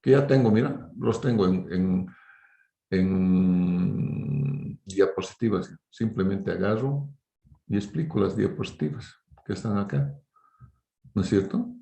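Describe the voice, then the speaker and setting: A low-pitched man speaks as a university lecturer, his voice gravelly with fry. He explains informally and didactically, often closing statements with little tag questions. The sound is roomy and echoing, as in an online class. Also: big pitch swings, slow pace, pauses often, mellow.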